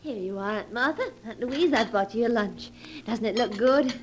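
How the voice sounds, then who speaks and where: A scheming, bossy niece played by a woman in a vintage radio mystery. Her high voice is coated in false sweetness. It sounds like an old 1940s broadcast. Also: expressive, normal speed, natural voice. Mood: falsely sweet.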